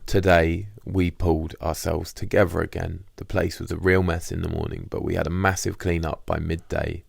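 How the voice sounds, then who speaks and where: A man's voice: sits low, little pitch movement, moderate pace, creaky, breathy edge, no room sound, studio quality. A man narrating a first-person diary as a scripted audiobook.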